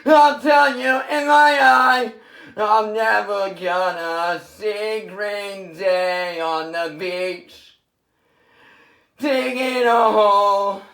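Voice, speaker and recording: A man from San Diego with a rough, worn voice, rambling through a half-sung freestyle and chatty, swearing talk at home. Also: sits high, drawn-out words, fairly bright tone, echoey room. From San Diego, California. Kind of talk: improvised singing and casual vlog talk.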